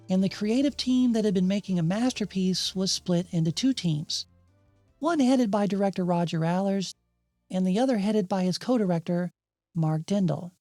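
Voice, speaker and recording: A woman's voice: medium pitch, warm tone. A woman hosting and narrating a scripted video essay. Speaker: narrator and host.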